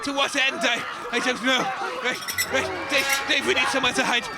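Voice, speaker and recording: Audio comedy lead: male actor as breathless, stammering male adventurer-commentator, very high pitched, excitable, loud musical score behind. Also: brisk, loud music behind the voice, over a noisy crowd. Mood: panicked commentary. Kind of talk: comic audio drama.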